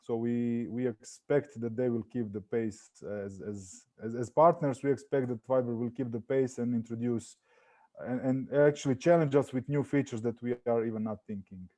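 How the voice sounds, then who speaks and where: A man's voice: medium pitch, steady tone, moderate pace, warm tone. A man from Croatia with a Croatian accent, speaking off the cuff as a panelist in a business discussion. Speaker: business panelist, partner of a messaging app.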